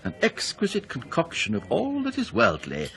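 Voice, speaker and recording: Haughty, eccentric country squire, radio drama. Bright forceful edge, precise declamatory phrasing, very varied pitch. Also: male voice, huge pitch swings, moderate pace, vocal fry, heavily acted. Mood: scornful. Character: embittered eccentric country gentleman, haughty and cryptic. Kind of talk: 1990s British radio drama acting.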